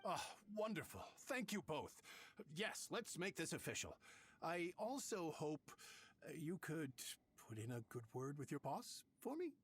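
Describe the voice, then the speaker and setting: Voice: medium pitch, big pitch swings, unhurried, slight background noise. Polite shop merchant. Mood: pleased. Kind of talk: video game dialogue.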